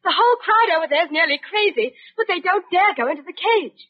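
A woman's voice: very high-pitched, lively intonation, fast talker. A woman playing an earnest young woman with a breathless, urgent manner in a 1940s radio drama. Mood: urgent, alarmed. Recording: dull, muffled old 1940s radio recording.

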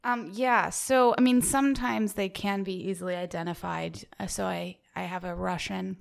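Young woman, from the South, eager, self-deprecating, gravelly fry, echoey room. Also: mid-range voice, fairly even intonation, moderate pace. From American South. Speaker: co-host applicant. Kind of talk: comedic interview banter. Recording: echoey unfinished studio.

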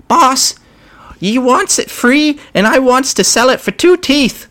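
A puny, cowardly goblin hustler, with a high, squeaky whine. He stammers and wheedles, haggling nervously with his boss. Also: expressive, a little slow, pushed and bright, full character voice. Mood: wheedling. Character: small cowardly scheming male goblin grot.

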